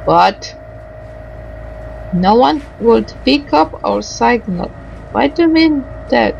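A woman doing let's play commentary, reading game dialogue aloud haltingly and adding muttered asides. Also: a little high, expressive, gravelly, a little mellow, lo-fi sound, slight background noise.

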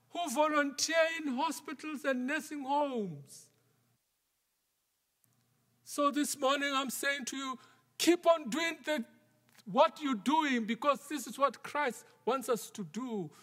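Middle aged minister guy preaching slow from the pulpit, big church echo, goes almost whispery sometimes. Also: very high-pitched, fairly bright tone. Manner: measured preaching, drops to a near whisper for emphasis. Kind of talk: sermon. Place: large wooden church sanctuary, at the pulpit. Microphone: pulpit microphone. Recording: long church reverb.

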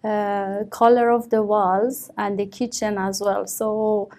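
A woman's voice: mid-range voice, little pitch movement, slow pace, warm tone. A woman in her thirties from Iran with an Iranian accent, answering exam questions with many fillers and restarts in a small office. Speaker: test candidate. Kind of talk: mock speaking exam answers.

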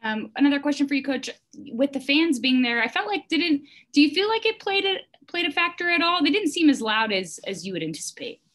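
A woman's voice: sits high, sing-song, brisk, few pauses, a bit bright. A woman reporter asking a coach questions at a press conference, tentative and restarting her sentences.

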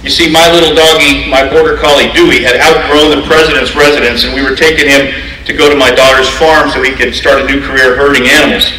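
Halting middle-aged university president speaking in a hall. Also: male voice, medium pitch, steady tone, pushed and bright, distant mic. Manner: halting, many "uh" pauses, reciting dates. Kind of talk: awards ceremony speech. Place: stage of a large auditorium. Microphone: handheld microphone at a podium. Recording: echoey hall, heard from afar.

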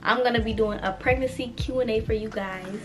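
A woman, chatting casually to camera as a vlogger, animated and talking straight to viewers, a little stuffy from a cold. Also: on the high side, fairly quick. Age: 20s. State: congested with a cold.

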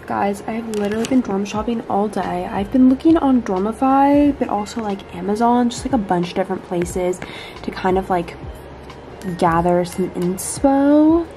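A woman's voice: medium pitch, moderate pace, over music. Congested young woman vlogging at home. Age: late teens. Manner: chatty, upbeat, lots of "like". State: congested, stuffy-nosed. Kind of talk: casual vlog chat. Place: sunroom at home, indoors. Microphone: camera held at arm's length.

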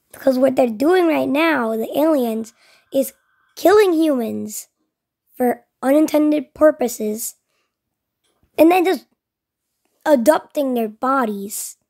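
A woman's voice: high-pitched, darkish tone. A woman giving casual reaction commentary, musing and reasoning aloud. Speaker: reaction video host.

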